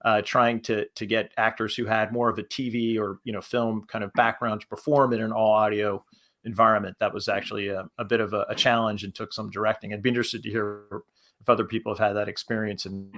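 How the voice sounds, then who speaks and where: A man speaking as a podcast creator in a panel discussion, with a halting, thoughtful delivery that often repeats words. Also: fairly low pitch, flat delivery, a bit bright. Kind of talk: panel discussion, unscripted talk.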